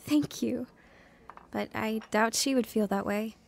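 A woman's voice: fairly high pitch, steady tone, a little slow, vocal fry, a little mellow. An actress playing an earnest, wounded young woman telling her life story in a dramatized testimony. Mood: grateful, tender. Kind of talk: dramatized first-person testimony narration and dialogue.

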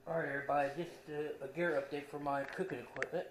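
A man's voice: on the high side, steady tone, fast. Man in a roomy, distant recording. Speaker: vlogger showing camping gear. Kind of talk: casual off-the-cuff gear update. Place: indoors in a bedroom. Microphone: camera a few feet away. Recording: roomy, distant mic, faint background noise.

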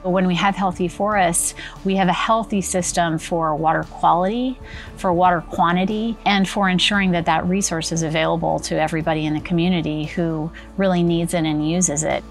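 A woman, a company spokesperson, speaking in a measured, earnest way in an interview. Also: lowish voice, steady tone, soft background music. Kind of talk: corporate interview.

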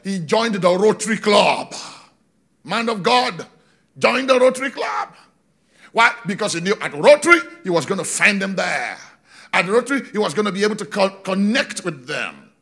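A middle-aged man preaching on a large church stage into a handheld microphone, animated, slowing down for emphasis and shouting key points. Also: sits high, vocal fry, husky. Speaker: pastor. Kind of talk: sermon. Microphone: handheld mic.

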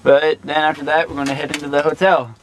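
A man's voice: a little high, level tone, fast talker. A young man hosting a casual vlog, giving upbeat, joking narration into a handheld camera held close to his face. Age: young adult. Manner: upbeat, joking tour-guide talk. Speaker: vlog host. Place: van, parking lot, hotel.

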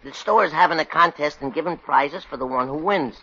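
An actor playing a slow-witted, bashful elevator operator with a husky, hesitant delivery in 1940s radio comedy style. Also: male voice, fairly high pitch, bright, forceful tone. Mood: explaining. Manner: hesitant, dopey. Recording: muffled old radio recording.

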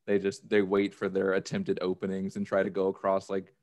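A man's voice: sits low, completely flat delivery, brisk, a little mellow. A man in his thirties talking casually as a podcast guest over a video call. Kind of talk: casual chat. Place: plain indoor room, video call. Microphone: desk microphone with headphones on.

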